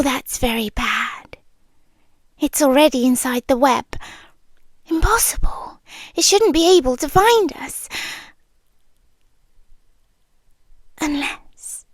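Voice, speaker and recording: An ancient, possessive spider-woman girlfriend, played by a woman, speaks in a high British voice that keeps slipping into breathy whispers. She is very slow and deliberate, doting yet quietly menacing. The ASMR roleplay is recorded close and dry, intimate in the ear. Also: dry recording, full character voice. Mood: alarmed, hushed. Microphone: close dry microphone.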